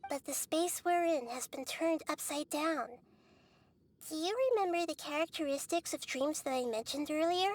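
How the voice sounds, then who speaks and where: A young-looking goddess of wisdom, voiced by a woman, with a high, girlish voice. She talks calmly and patiently, laying things out step by step like a gentle teacher. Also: moderate pace, slight background noise, lightly acted. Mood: explaining. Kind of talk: video game voice acting.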